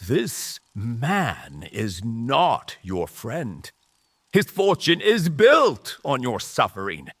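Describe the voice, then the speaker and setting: A man playing a fatherly but menacing rebel mage leader in a dramatic audio drama, speaking slowly and emphatically. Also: mid-range voice, huge pitch swings, a bit bright, slightly rough, dry recording, pitched above the performer's own voice, full character voice. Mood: bitter, intense. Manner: slow, deliberate, emphatic delivery.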